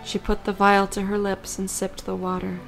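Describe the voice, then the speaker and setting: A woman reading scripted story narration for an audio drama in a measured, creaky voice. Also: medium pitch, completely flat delivery, faint music behind, rough recording.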